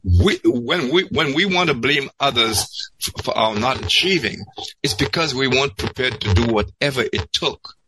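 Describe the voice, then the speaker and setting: A man telling an anecdote in an interview, halting and repeating words as he searches, then landing them emphatically. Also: medium pitch, expressive, noisy background. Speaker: podcast guest.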